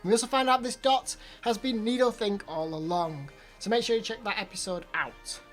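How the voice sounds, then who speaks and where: A woman's voice: medium pitch, moderate pace, faint music behind. A woman hosting an anime review channel, chatting casually through an episode recap while her voice is slightly off from hay fever. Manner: chatty recap with repeated words.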